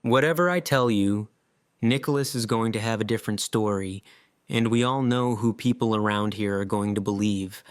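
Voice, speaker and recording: A man acting an earnest, guilt-ridden survivor in an audio drama, with halting, emotional delivery. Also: fairly low pitch, clear, resonant tone, no echo, studio quality, natural voice. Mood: grim, recounting.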